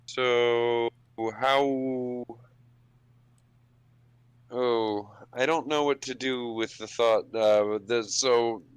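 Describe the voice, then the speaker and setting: A man's voice: medium pitch, steady tone, extremely slow, long pauses, smooth, dry recording, rough recording. A man chatting casually as a player in an improvised tabletop role-playing game, musing aloud and breaking off mid-thought. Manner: muses aloud, breaks off and restarts.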